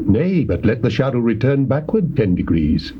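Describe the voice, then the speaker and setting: A man's voice: mid-range voice, big pitch swings, moderate pace, lightly acted. A man playing a pious king in a scripture drama, speaking earnestly and humbly in formal archaic phrases. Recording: muffled, noisy old cassette tape.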